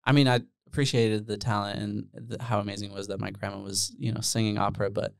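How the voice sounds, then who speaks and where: Smooth low-voiced young Dutch-born man. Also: flat delivery, fast, dry recording, studio quality. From Netherlands. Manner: rambling, self-deprecating, many fillers. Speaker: guest musician. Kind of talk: casual interview chat. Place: small room with bare walls. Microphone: handheld dynamic mic, headphones on.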